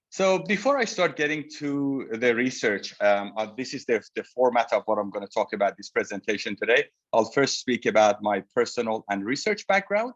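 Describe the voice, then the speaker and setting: A man, giving a polite, measured webinar lecture over a video call. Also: medium pitch. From Iran. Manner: polite, measured, addresses audience directly. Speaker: presenter, psychotherapy researcher. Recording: slightly dull video call audio.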